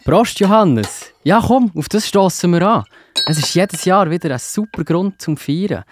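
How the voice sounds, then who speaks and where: A man's voice: sits high, lively intonation, measured pace, background noise. Hearty, loyal disciple played by a man. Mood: festive. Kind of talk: children's Bible audio play.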